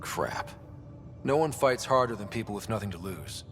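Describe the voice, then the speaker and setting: Level-headed space leader, echoing. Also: male voice, fairly low pitch, moderate pace. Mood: composed, decisive. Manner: steady, informal. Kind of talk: video game cutscene acting. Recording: long reverb, faint music behind.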